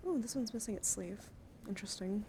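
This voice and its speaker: A woman's voice: mid-range voice, a little fast. Playful woman streamer over audible noise. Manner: playful, coaxing, often slipping into breathy whispers. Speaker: livestream host. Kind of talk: casual chat and ASMR handcam stream. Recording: clearly audible background noise.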